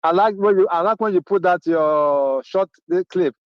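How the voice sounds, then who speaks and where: A middle-aged Nigerian man calls in on a dull, muffled, dry phone line. His voice sits fairly high, and he presses his points by saying them over and over. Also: moderate pace, clear, resonant tone, no echo. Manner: emphatic, repeats key phrases. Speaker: caller. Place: Atlanta, abroad.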